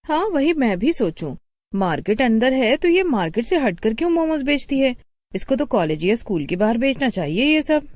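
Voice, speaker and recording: Woman voicing a curious young wife, high and lilting. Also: very animated, normal speed, darkish tone, no echo. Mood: puzzled, curious. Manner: musing, questioning. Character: young curious wife, fixated and gently pleading. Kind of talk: animated horror story dialogue.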